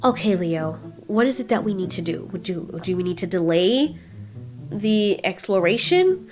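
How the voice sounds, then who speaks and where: A woman's voice: mid-range voice, animated, a little slow, darkish tone, close to an everyday voice. Woman as Mothra, a polite but impatient female moth kaiju. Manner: hesitant politeness turning curt.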